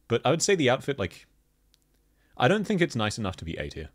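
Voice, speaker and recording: A man with a thick Australian accent, chatting casually as he reviews game outfits and laughs often. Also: fast talker. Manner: chatty, laughs often, lively pitch jumps. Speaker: gaming video host. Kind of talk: casual commentary.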